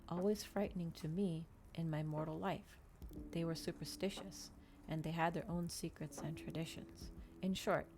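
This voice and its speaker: A woman reading a novel aloud in ASMR style, with a soft, hushed voice and measured, unhurried delivery. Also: low-pitched, vocal fry, a little hiss or hum. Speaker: ASMR streamer.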